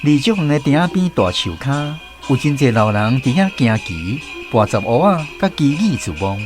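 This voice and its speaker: A Taiwanese man tells a scripted story as a radio host. His medium-pitched voice moves through lively rises and falls, with clear instrumental music playing behind him. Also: moderate pace.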